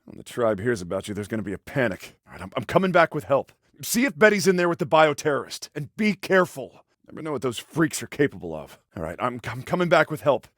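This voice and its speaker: An actor with an American accent playing a protective, exasperated older brother in a video game, earnest and urgent with a dry sarcastic edge. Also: male voice, medium pitch, big pitch swings, fast talker, a bit bright, no echo. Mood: worried, pleading. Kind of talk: naturalistic video game voice acting. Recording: dry isolated game voice lines.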